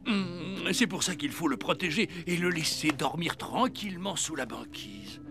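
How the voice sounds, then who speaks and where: A man's voice: a little high, lively intonation, gravelly, music in the background. A man playing an anxious, kindly old Father Christmas in a French cartoon, with hesitant, grandfatherly delivery. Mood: solemn, explaining. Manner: hesitant, humming pauses, grandfatherly.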